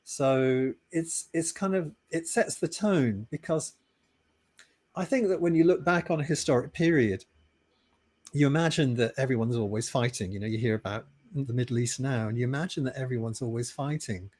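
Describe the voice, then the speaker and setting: Measured British man telling stories. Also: medium pitch. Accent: British English. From Britain. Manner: thoughtful storyteller, steady and measured. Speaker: podcast guest, author. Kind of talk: conversational interview answers.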